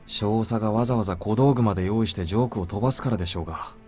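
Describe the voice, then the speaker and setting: A man's voice: lowish voice, racing, a little mellow, close to an everyday voice. An actor playing a serious young male guard in a visual novel, with a dry, curt manner. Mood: dry retort. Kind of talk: Japanese visual novel voice acting. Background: game music.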